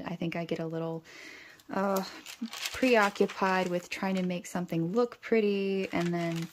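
A woman casually narrating as she journals, talking in an unhurried, musing way. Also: lowish voice, a little mellow, a little hiss or hum. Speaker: stationery vlogger.

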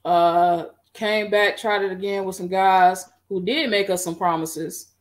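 A middle-aged woman on a video call, recounting her band history in a relaxed, rambling way with many fillers. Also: medium pitch, unhurried. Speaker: guest band musician. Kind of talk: casual interview. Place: home room.

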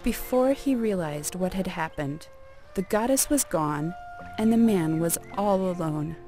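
Unhurried woman storyteller. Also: on the low side, lively intonation, warm tone, faint music behind. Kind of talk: scripted folk tale narration.

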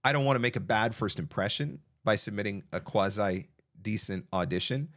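Guy in his 30s doing a live q&a from his home studio, lowish voice, chatty, lots of uh's and restarts, close mic. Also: normal speed, a bit bright. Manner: conversational, answers chat questions with frequent fillers and restarts. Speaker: voiceover coach hosting a live Q&A. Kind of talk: casual advice livestream. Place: small home studio, indoors. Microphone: broadcast mic on arm, close.

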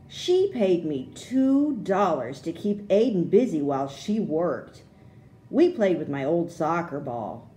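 A woman reading a children's storybook aloud, with expressive, deliberate phrasing for young listeners. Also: fairly low pitch, slight background noise.

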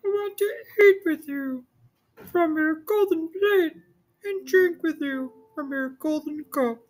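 A woman voicing a small, ugly male frog in a children's storybook, talking very high, slow and drawn out. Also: a little mellow, rough recording. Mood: pleading.

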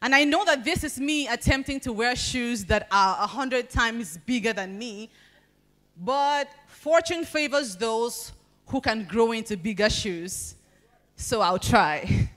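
A young Nigerian woman with a Nigerian accent performing spoken word poetry at a podium, with measured, expressive pacing and deliberate pauses. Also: fairly high pitch. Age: young adult. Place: large banquet hall at a podium. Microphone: handheld microphone.

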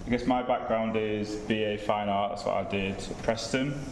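A man's voice: fairly low pitch, steady tone, moderate pace. A man, a photographer, talking informally on a panel in a gallery, recorded from a distance. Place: large gallery room with seated audience. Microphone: distant camera microphone. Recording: echoey noisy room from far off.

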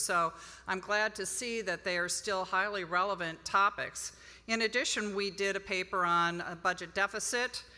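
A woman's voice: medium pitch, bright, forceful tone. A middle-aged woman giving an informal conference presentation as an institute director at a podium microphone in a ballroom. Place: large hotel ballroom, on stage. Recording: reverberant hall PA sound.

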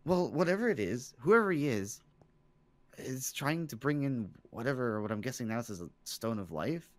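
A man's voice: mid-range voice, big pitch swings, normal speed, dry recording, natural voice. A man playing a knowledgeable young student mage, explaining and musing hesitantly in an improvised game roleplay.

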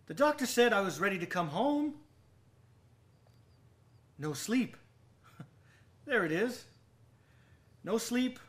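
A man acting a haunted, sleepless, volatile gentleman in a radio drama, theatrical and agitated with old-fashioned diction. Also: sits high, exaggerated voice. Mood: angry, distraught.

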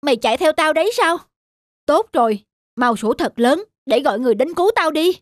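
A man's voice: very high voice, animated, fairly quick, very noisy. A man voicing a fretful, self-serving village man in a folktale cartoon, exclaiming and muttering to himself. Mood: pained, desperate. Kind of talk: Vietnamese folktale cartoon dubbing.

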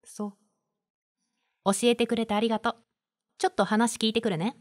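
A woman playing a strict but caring primary school teacher in a voice drama, firm and measured. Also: fairly high pitch, fairly even intonation, no echo, lightly acted. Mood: gentle, firm. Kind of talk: voice drama acting.

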